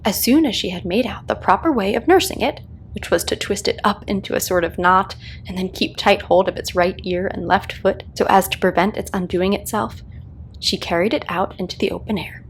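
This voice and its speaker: A woman narrating a children's story as a read-aloud host, in a calming, expressive storytelling style. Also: medium pitch, brisk, slight background noise.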